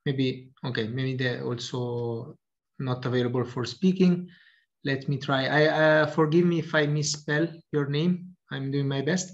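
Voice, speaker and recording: A man's voice: medium pitch, steady tone, measured pace. Man, call moderator, hesitant and courteous, muffled webcam sound. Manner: hesitant, polite, frequent fillers. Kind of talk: video call introductions. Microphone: video call. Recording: dull lo-fi call audio.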